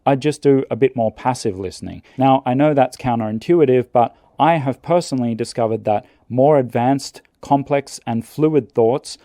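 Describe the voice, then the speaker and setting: A man calmly narrating scripted language-learning advice in a measured, earnest way. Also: medium pitch, no room sound, clean studio sound.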